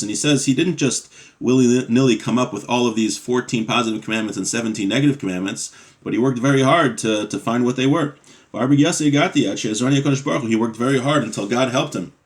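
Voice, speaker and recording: A man leads a weekly religious study class in a mid-range voice. He reads aloud from a Hebrew text and then explains it in English. His tone stays conversational, often asking "right?". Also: mid-range voice. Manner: conversational, frequent "right?" and "you know". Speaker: Torah class teacher.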